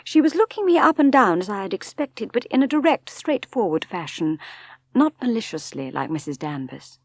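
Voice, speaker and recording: A woman's voice: mid-range voice, big pitch swings, normal speed, no room sound. A woman with an English accent reading a novel aloud as dramatic literary narration. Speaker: audiobook narrator.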